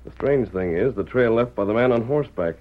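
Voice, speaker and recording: An actor playing an earnest, commanding masked cowboy hero in an old-time radio western, reasoning aloud in crisp, formal sentences. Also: male voice, medium pitch, expressive, a little fast, natural voice. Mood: earnest, pondering. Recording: tinny, narrow-band vintage radio recording.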